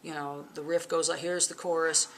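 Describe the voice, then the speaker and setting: A woman in her forties, a bass player and songwriter, chatting casually in an interview at home, lively with lots of fillers. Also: normal speed, slight background noise. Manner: lively, chatty, laughs often, many fillers. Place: living room at home. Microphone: camera in front, close framing.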